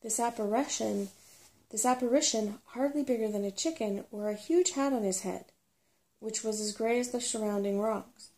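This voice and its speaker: Soft-spoken woman reading a story, mellow and creaky. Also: a little high, normal speed. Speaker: storybook reader. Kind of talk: ASMR audiobook reading of a children's fantasy novel.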